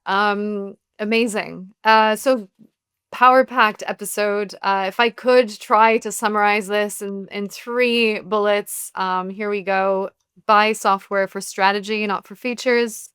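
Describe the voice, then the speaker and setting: A woman hosting a podcast, upbeat, wrapping up the episode crisply. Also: mid-range voice, steady tone, unhurried, a bit bright, no room sound, clean studio sound. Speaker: host.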